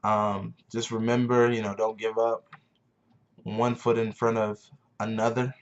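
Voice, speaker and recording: Young man in his twenties, earnest and searching, lowish voice, muffled lo-fi sound. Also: little pitch movement, a little slow. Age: 20s. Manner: earnest, searching, repeats phrases for emphasis. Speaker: vlogger. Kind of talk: motivational talk to camera.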